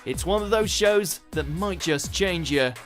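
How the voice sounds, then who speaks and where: A man's voice: high voice, expressive, measured pace, a bit bright, soft background music. A man reading scripted narration as the narrator of a ranked countdown video.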